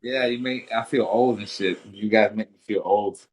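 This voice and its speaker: A man from Puerto Rico in his thirties, a fighter chatting casually in an interview, relaxed and rambling with restarts and casual swearing. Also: on the low side, normal speed, low-quality recording. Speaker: interview guest, bare-knuckle fighter.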